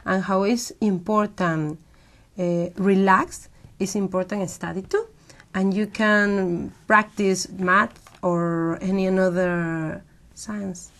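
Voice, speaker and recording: A woman's voice: medium pitch, fairly even intonation, takes it slowly. A woman from Peru, answering as an exam candidate and hesitating as she searches for words.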